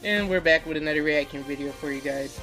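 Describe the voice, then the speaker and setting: Hoarse guy hosting a reaction video. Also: a little high, quick pace. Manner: chatty, explains things to his co-hosts. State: losing his voice. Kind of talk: casual reaction commentary. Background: video audio and music playing.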